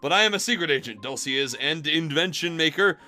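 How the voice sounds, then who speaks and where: A man voicing an excitable young fox secret agent who explains things eagerly and emphatically. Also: on the high side, fairly quick, faint music behind. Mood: excited, explaining.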